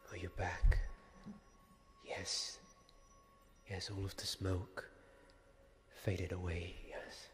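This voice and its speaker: A young man playing a devoted, weary knight in a soft-spoken ASMR roleplay, halting and often slipping into a whisper. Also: deep voice, completely flat delivery, soft background music. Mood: hushed, wondering. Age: young adult. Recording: long cavernous reverb, faint background ambience.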